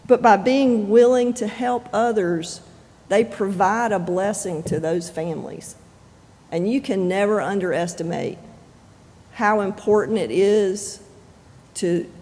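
A pastor preaching a sermon in measured, deliberate phrases with frequent pauses. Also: medium pitch, darkish tone. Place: church sanctuary. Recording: long reverb of a church sanctuary.

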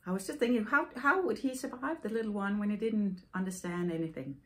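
A woman in her forties from Denmark, a native Danish speaker, hosting a knitting podcast and telling a personal story in a thoughtful, halting way with many fillers. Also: medium pitch, a bit bright. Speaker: podcast host. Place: home room with yarn shelves, indoors.

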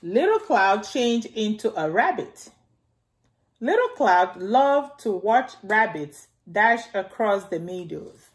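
A middle-aged woman hosting a storytime, reading aloud to small children in simple, deliberate phrases and repeating key words. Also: medium pitch, big pitch swings. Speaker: storytime host reading a picture book to young children. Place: indoors in a home, beside a staircase.

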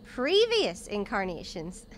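A woman's voice: mid-range voice, wildly swooping pitch, slow, slight background noise. A woman playing a cheeky, inquisitive travelling companion in an audio drama, with a teasing, informal manner. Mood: curious, teasing.

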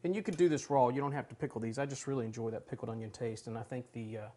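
A man's voice: medium pitch, racing, heavy background noise. Chatty middle-aged man cooking at home. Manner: chatty, enthusiastic, talks while working. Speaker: cooking show host. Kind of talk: casual cooking demonstration. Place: large home kitchen.

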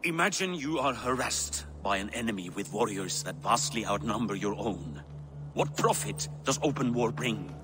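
A man's voice: lowish voice, lively intonation, moderate pace, music in the background, lightly acted. A man voicing an aggrieved older Viking king in a video game, stern and commanding, with formal, reproving speech. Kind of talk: dramatic video game cutscene acting.

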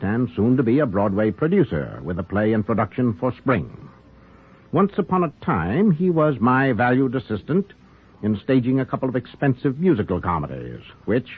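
Animated male host on vintage radio. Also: mid-range voice, slightly rough. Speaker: host and narrator of a radio theater. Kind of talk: scripted 1940s radio narration. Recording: muffled narrow-band 1940s radio, faint music.

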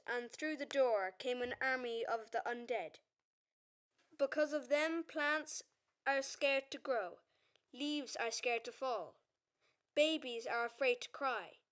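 A woman playing an earnest young messenger reciting a warning to a town in a radio play. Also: high voice.

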